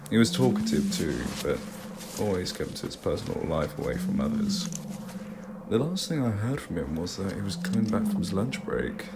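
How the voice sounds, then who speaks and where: A man's voice: lowish voice, heavy background noise. A man playing a dry, curious, level-headed engineer passenger in a fan audio drama, with a wry conversational manner. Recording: loud music behind.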